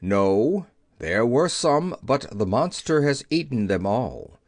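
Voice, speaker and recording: A man's voice: medium pitch, big pitch swings, slow pace, no room sound, clean studio sound, full character voice. Man as grave, deferential storybook tiger. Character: fearful, respectful male tiger, spokesman of forest beasts.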